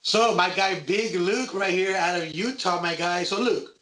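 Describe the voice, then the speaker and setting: A man's voice: sits high, a little slow, bright, forceful tone. A man co-hosting a sports podcast in casual, slangy, emphatic talk that repeats phrases.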